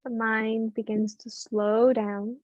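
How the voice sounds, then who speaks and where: High-voiced woman guiding a meditation. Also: flat delivery, no room sound, lo-fi sound. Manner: gentle, unhurried with long pauses. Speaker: meditation and yoga teacher. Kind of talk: guided meditation, soothing instruction.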